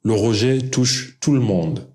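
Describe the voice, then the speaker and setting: A young Kenyan man with a Kenyan accent, whose first language is Luo, speaking French in a calm, measured and earnest scripted monologue. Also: medium pitch. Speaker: video creator.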